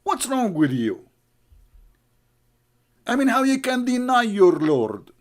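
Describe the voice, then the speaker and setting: A man's voice: very high voice, huge pitch swings, slow pace. Sarcastic man with an Arabic accent. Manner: sarcastic, mocking, broken English grammar. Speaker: online religious debater. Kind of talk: combative commentary.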